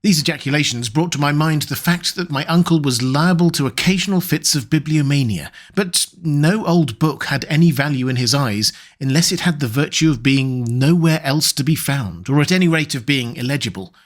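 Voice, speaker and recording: A man narrating a classic novel in a measured, even storytelling manner. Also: fairly high pitch. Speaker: audiobook narrator.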